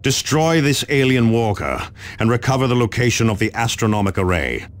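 An actor playing a stern, commanding space marine officer, briefing solemnly and with measured authority in a video game. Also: male voice, mid-range voice, animated, slight background noise, close to an everyday voice. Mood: stern, urgent.

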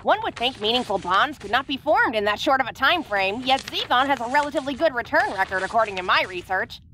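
A woman's voice: a little high, expressive, fast talker, bright, forceful tone, a little hiss or hum. A woman playing an eccentric, studious alien scientist in an audio roleplay, speaking formally and clinically. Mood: curious, clinical. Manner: formal, clinical, precise wording.

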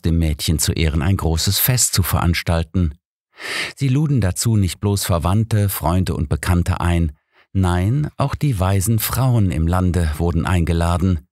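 A man narrates a scripted story for an audiobook. His voice sits low, and the sound is dry and close. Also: measured pace, darkish tone, dry recording.

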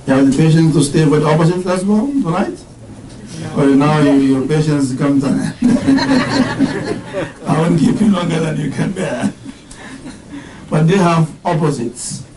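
A middle-aged man giving an interactive religious lesson, quizzing his class on terms, explaining them slowly and reciting Arabic verses. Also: fairly high pitch, mellow, echoey room, far from the mic, slight background noise, poor audio. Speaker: religious teacher. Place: indoor classroom with whiteboard.

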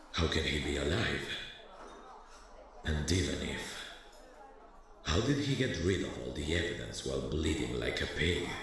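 An actor playing a weary, brooding former detective who thinks aloud slowly in an ASMR audio drama, with a deep, gravelly voice. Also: male voice, pushed and bright, full character voice. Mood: shaken, bitter. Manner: slow, musing, muttering to himself. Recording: very roomy, echoing sound.

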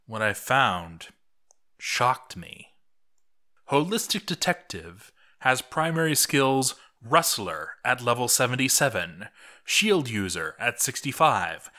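A man reading a written chronicle aloud as a narrator, with deliberate, theatrical emphasis on names. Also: mid-range voice, bright, forceful tone. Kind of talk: scripted narration, dramatic read-aloud.

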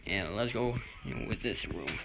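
The kind of man you'd hear on a noisy homemade phone video, slowly and hesitantly showing you room after room in a low voice. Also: lively intonation. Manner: hesitant, halting, unsure. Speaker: vlogger giving a house tour. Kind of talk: casual improvised home tour narration. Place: indoors, moving through rooms of a house. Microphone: handheld phone or camera mic. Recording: noisy handheld home video, clicks.

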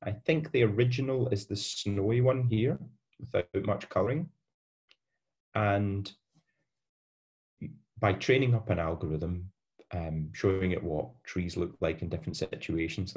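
A man's voice: low voice, normal speed, a little mellow. A young man with a Scottish accent giving an online university lecture over a webcam, hesitant with many fillers and restarts. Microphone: webcam on a video call.

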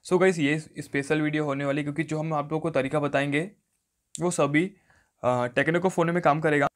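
A man's voice: fairly high pitch, steady tone, a little fast. A man giving an instructional phone tutorial voice-over, often repeating stock phrases. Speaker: tech tutorial host.

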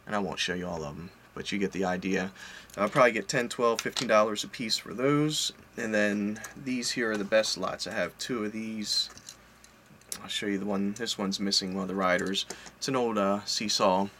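Casting: man in his forties, lowish voice, offhand and chatty, webcam audio with faint room hum. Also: normal speed, fairly bright tone. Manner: chatty, rambling, self-correcting, offhand. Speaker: collector and online reseller. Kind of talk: casual vlog update. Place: home office full of collectibles, indoors. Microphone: webcam at desk, seated.

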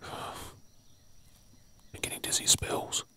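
An Australian man playing a weary, protective survivor of a zombie apocalypse in a whispered ASMR role play, hushed, urgent and coaxing. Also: drawn-out words, exaggerated voice. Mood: weary, resolute.

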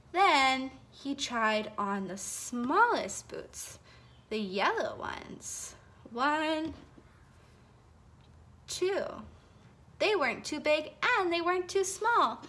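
A young woman telling a felt board story for children at home, expressive and sing-song, with gasps and whispers, recorded on a phone held over the board. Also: sits high, extremely slow, pauses often. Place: home living room, indoors. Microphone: phone camera held over the felt board.

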